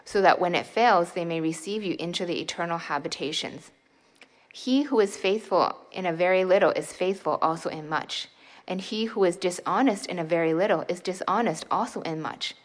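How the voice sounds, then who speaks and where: Low-voiced woman reading scripture. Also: low-quality recording. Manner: measured and steady. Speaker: scripture reader. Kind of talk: formal Bible reading.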